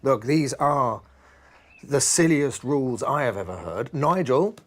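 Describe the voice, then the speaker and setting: A man's voice: medium pitch, expressive, a little slow, pitched above the performer's own voice. A man playing a flustered, earnest party guest in a children's storybook, easily exasperated. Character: flustered, pleading male party guest narrating a storybook tale.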